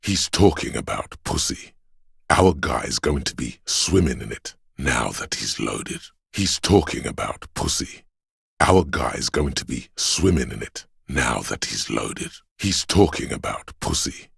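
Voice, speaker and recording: A man voicing a sleazy, hedonistic inner voice of addiction in a video game, with a slow, coaxing, streetwise manner and a deep gravelly, husky sound. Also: lively intonation, a bit bright, no room sound, studio quality, heavily acted. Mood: craving, goading. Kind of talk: video game character voice acting.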